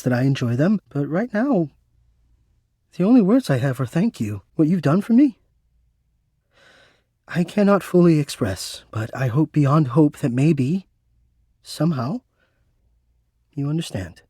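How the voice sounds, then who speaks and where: A man's voice: on the high side, dark tone, no room sound, studio quality. An actor voicing a sentient home ventilation system in a video game, an eloquent, dramatic male romantic with florid, formal delivery. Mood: moved, grateful. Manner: florid, theatrical, formal wording.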